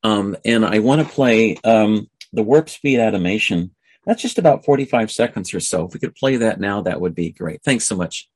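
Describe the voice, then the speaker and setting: A man hosting a radio talk show in an informal monologue, halting and often repeating or stumbling over his phrases. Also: on the low side, lively intonation, husky, dry recording.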